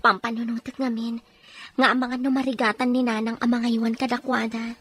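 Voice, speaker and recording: A woman's voice: a little high, little pitch movement, a little fast, a bit bright, husky. A woman acting as a wistful, homesick mother working overseas in a drama. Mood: regretful. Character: regretful, homesick young mother working abroad. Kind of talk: Ilocano radio-style drama acting.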